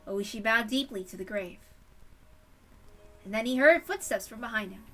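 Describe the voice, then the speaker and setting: A woman's voice: on the high side, animated, moderate pace, a bit bright, distant mic, soft background music, rough recording. A woman reading a story aloud as an informal amateur narrator. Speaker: amateur reader of visual novel. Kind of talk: story read-aloud with character voices.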